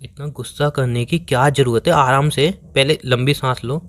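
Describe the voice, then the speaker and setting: A man's voice: medium pitch, steady tone, normal speed, a bit bright, close to an everyday voice. A young man playing an exasperated boyfriend who pleads and placates, repeating himself in a relationship drama. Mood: placating. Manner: pleading, repetitive, whiny protest.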